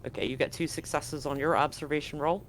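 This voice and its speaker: A man working as game master, improvising narration for a tabletop roleplaying game in a halting, deliberate way. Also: on the high side, fairly even intonation, a bit bright, slight background noise. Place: live-streamed game session.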